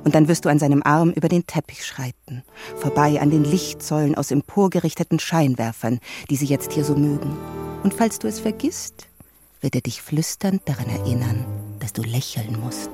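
Bitter, sardonic spouse, acted by a man, higher-pitched voice, probing radio-play delivery over music. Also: normal speed, lightly acted. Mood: bitter, resigned. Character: bitter, sceptical spouse of a vain film director. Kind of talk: German radio drama acting.

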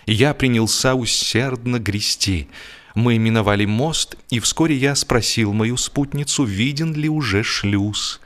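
A man narrating a humorous literary story as an audiobook reader, with expressive delivery. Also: medium pitch, unhurried. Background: music behind the voice.

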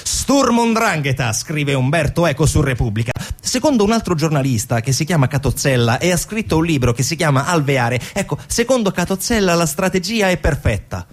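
A man delivering a theatrical narrative monologue as a stage narrator, with deliberate, rhetorical phrasing. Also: on the high side, animated.

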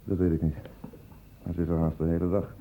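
A man's voice: low voice, level tone, fairly quick, gravelly, mellow. A man playing a weary, embittered hospital doctor in a Dutch radio drama with a noisy recording. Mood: weary, bitter. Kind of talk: Dutch radio play acting.

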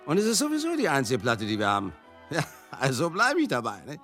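An actor playing a chatty, jovial, sentimental showbiz manager in a radio drama monologue, effusive and confiding. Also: male voice, medium pitch, huge pitch swings, brisk, a bit bright, faint music behind. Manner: effusive, confiding, addresses listeners as darlings.

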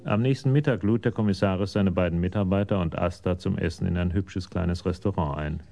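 Low voiced guy narrating a crime radio play, sober and measured. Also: vocal fry, warm tone. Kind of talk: scripted crime story narration.

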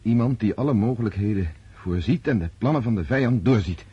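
An actor in a Dutch radio drama playing an earnest, naive civilian gentleman, inquisitive and tentative, on a slightly dull recording. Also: fairly low pitch, expressive, fairly quick, breathy edge. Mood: questioning.

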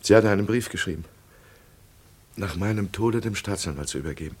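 An actor playing a despairing, brooding doctor who expects arrest, speaking haltingly in a husky voice in a 1950s German radio drama. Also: male voice, fairly low pitch. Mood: anxious, brooding.

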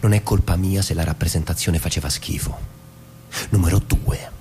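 An actor playing a defensive, self-righteous, wounded husband in an Italian radio stage drama, halting and then cutting. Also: male voice, deep voice, steady tone, a little mellow, slight background noise. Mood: accusing.

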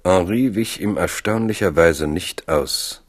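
A man narrating a historical radio play as a measured storyteller. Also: deep voice.

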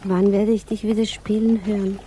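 A woman's voice: medium pitch, flat delivery, normal speed, mellow, close to an everyday voice. An actress playing a tender, pleading woman in love in a 1950s radio drama. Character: yearning woman in love, tender, clinging, anxious. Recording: muffled 1950s radio recording, faint noise.

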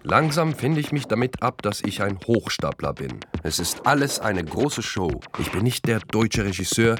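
Low, lively confessional narrator. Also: male voice, moderate pace, noisy background. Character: self-doubting impostor director confessing his schemes. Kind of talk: scripted first-person radio play narration. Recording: music under narration.

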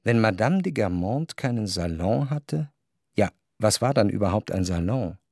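An actor playing a reflective, wryly observant narrator in a literary radio drama, speaking in a measured, articulate way. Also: male voice, on the low side, lively intonation, a little mellow, no room sound, clean studio sound. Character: reflective, observant male narrator of society life. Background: faint music.

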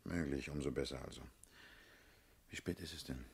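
An actor playing a blunt, world-weary, middle-aged male party leader in a German radio drama, with a husky, rough voice. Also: very deep voice, moderate pace, heavily acted. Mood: wearily brooding. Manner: blunt, paternal, brusque.

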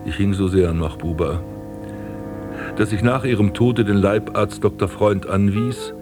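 An actor playing an embittered, melancholy aristocratic prince in a German radio drama, with theatrical, eloquent delivery. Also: male voice, fairly low pitch, measured pace, over music, lightly acted. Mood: bitter, sorrowful. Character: embittered, melancholy aristocratic prince recalling his wasted life and burial.

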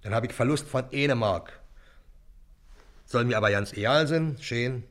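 A man's voice: mid-range voice, unhurried, fairly bright tone, close to an everyday voice. An actor playing a wheedling, middle-aged river boatman and shady dealer in a radio play, speaking colloquial Berlin dialect. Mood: grumbling haggling. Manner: colloquial, grumbling, insistent bargaining.

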